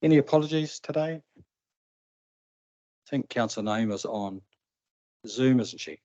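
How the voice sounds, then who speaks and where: A man's voice: medium pitch, animated, slow, mellow, dry recording. A New Zealand man chairing a council committee meeting in a plain, informal way. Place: council chamber. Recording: slightly dull, dry council meeting recording.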